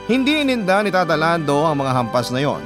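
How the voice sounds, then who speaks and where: A man's voice: on the high side, big pitch swings, brisk. A man with a Filipino accent reading a listener's letter as a radio host, in dramatic scripted narration. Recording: music bed behind voice.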